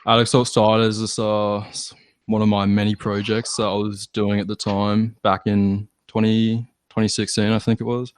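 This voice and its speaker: Rambling low-pitched man. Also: little pitch movement, moderate pace, clean tone, no room sound. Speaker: lead singer, podcast guest. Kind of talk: casual chat.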